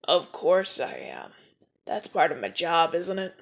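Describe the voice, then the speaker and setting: A suave mafia enforcer, played by a man in an audio roleplay, charming and teasing the listener. His delivery swings widely in pitch, from lively calls down to close, hushed whispers. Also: measured pace. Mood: stern. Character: suave smooth-talking male mafia enforcer. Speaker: mafia man rescuing a newcomer.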